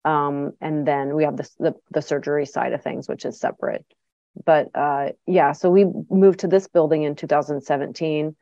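A woman's voice: low voice, steady tone, normal speed, gravelly, warm tone, no room sound. A middle-aged woman, a clinic director, answering interview questions in a chatty way with lots of ums and restarts. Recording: dull, dry lo-fi sound.

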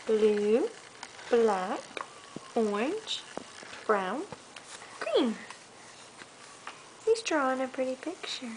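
A woman's voice: on the high side, very animated, extremely slow, long pauses. A young woman reading a picture book aloud to a toddler and chatting in a sing-song, coaxing way, recorded on a handheld camera at home. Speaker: parent reading a bedtime story. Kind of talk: reading aloud to a toddler, casual child-directed talk. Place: carpeted living room, sitting on the floor. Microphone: handheld camera a short distance away. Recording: noisy home camcorder sound, handling clicks.

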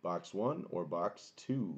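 A young man with a booming voice streaming a card box break from a small home room, chatting casually and greeting viewers by name. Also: animated, slow, highs cut off. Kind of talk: casual live-stream chat.

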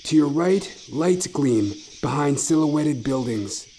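A man narrating a horror story in a suspenseful, theatrical manner, with short deliberate phrases. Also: fairly high pitch, lively intonation, warm tone. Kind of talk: dramatic second-person horror story narration. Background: music.

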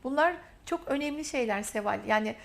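A woman's voice: sits high, animated, brisk, vocal fry. A woman hosting a university TV interview, praising her guest in repeated emphatic phrases. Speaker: interview host. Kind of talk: warm, effusive interview talk.

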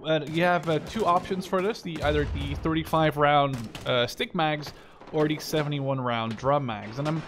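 A man's voice: fairly high pitch, a little hiss or hum. Chatty young man narrating gameplay. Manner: rambling, chatty, swears when surprised. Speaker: gaming channel host. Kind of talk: casual gameplay commentary. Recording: game gunfire and artillery behind voice.

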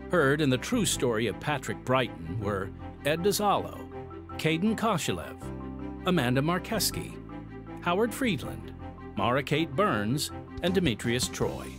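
A man hosting and announcing a radio drama podcast in a folksy way, on a close studio mic. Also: medium pitch. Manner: folksy, addresses listeners as folks. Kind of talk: scripted hosting with informal asides.

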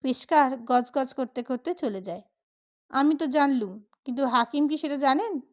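A woman reading a comic short story aloud as an expressive storyteller, acting out the characters' dialogue as she goes. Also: high-pitched, normal speed. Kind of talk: expressive scripted audiobook narration of a comic story.

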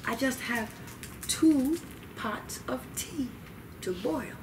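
A woman voicing a spry old grandmother from a storybook, politely and cheerfully stalling in a drawn-out sing-song. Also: a little high, animated, distant mic, background noise, voice pushed up.

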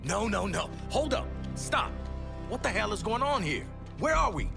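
A tense, no-nonsense mercenary from a video game. His raised, gravelly voice is blunt and urgent, with music playing behind. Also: high-pitched, sing-song, normal speed, heavily acted. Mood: alarmed. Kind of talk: video game cutscene acting.

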